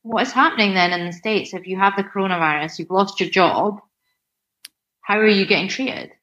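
A woman's voice: mid-range voice, a little fast, fairly bright tone. A Scottish woman chatting casually and filling her talk with "like" and "right?". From Scotland. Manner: chatty, many fillers like "like" and "right?". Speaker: personal trainer. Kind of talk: casual chat. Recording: dull lo-fi sound.

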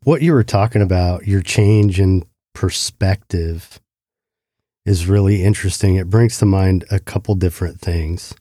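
A man hosting an interview, asking calm, thoughtful questions. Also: low voice, measured pace, no echo, clean studio sound. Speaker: podcast host.

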